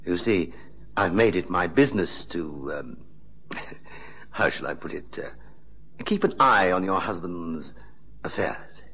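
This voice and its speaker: An actor playing a menacing, mock-courteous blackmailer with a violent temper in a radio drama. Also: male voice, low voice, animated, fairly bright tone, slightly rough, heavily acted. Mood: smug, insinuating. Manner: mock-courteous, deliberate, threatening.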